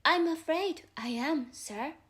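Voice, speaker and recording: A well-mannered, puzzled young girl from a children's storybook, played by a woman. Her voice is very high, and she speaks slowly and earnestly, choosing her words with care. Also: animated, fairly bright tone, exaggerated voice. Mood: restrained, unhappy. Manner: polite, earnest, careful.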